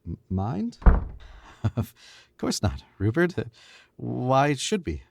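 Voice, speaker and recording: A man playing a smooth, arrogant young murderer in an old-time radio drama recreation, clipped and theatrical. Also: mid-range voice, huge pitch swings, takes it slowly, background noise, heavily acted. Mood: flustered. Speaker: radio play actor.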